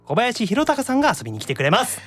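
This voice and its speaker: Animated, high-pitched Kansai man hosting. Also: fast, faint music behind. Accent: Kansai Japanese. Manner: teasing, mock-scolding banter. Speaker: podcast host. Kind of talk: casual radio-show chat.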